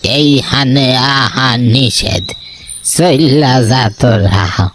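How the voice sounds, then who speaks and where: Man playing a slow, stern old watchman. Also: medium pitch, a bit bright, a little hiss or hum. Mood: stern, forbidding. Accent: rural Bangladeshi. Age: older. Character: stern old watchman of an abandoned mansion, forbidding. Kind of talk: horror cartoon dubbing.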